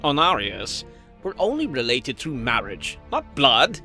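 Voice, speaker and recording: A man voicing a cocky, flippant dragon assassin and family dad in an animated fantasy series, with sarcastic, stammering banter. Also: medium pitch, a little slow, soft background music, heavily acted. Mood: breezy, playful.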